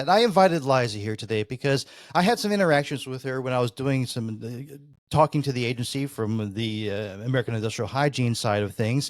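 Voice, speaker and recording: A man's voice: medium pitch, no room sound. Rambling male podcast host, dry sound. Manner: rambling, with many "you know" fillers. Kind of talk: podcast interview.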